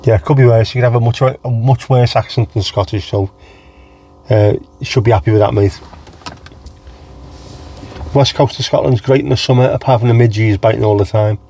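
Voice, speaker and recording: Mellow-voiced man with a mild Scouse accent. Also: mid-range voice, fairly even intonation, moderate pace, pauses often, a little hiss or hum. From England. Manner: chatty, rambling, reads out chat names and answers them. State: low on energy. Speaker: livestream host. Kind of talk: casual chat with viewers.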